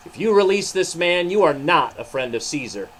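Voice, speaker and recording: A man reading a children's Bible story, playing a stern high priest who makes insistent, emphatic accusations. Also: animated, moderate pace, a bit bright, husky, rough recording. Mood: threatening.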